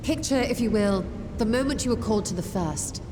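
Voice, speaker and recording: A woman's voice: on the high side, lively intonation, a little fast, noisy background, lightly acted. A woman playing a composed, scholarly video game character who explains things patiently in formal, precise language. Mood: composed, explanatory. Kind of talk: scripted expository game dialogue. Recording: music behind the voice.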